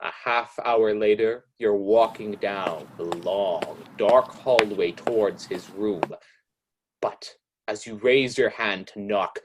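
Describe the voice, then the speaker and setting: A low-voiced narrator, acted by a man, tells an old-time radio mystery in the second person. He is knowing and ominous, drawing out each phrase very slowly and insinuating guilt as he needles the heroine. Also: background noise. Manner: deliberate, insinuating.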